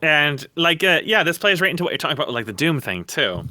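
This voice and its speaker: Bright, forceful man ranting casually. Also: fairly high pitch, big pitch swings, fast, no echo. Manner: rambling, emphatic, repeats words for stress. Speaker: podcast co-host. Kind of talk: casual opinionated discussion.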